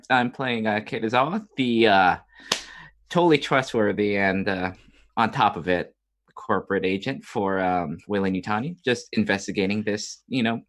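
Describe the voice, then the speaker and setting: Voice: sits low, normal speed, a bit bright. A tabletop game player chatting casually in a hesitant, tongue-in-cheek way. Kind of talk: casual chat.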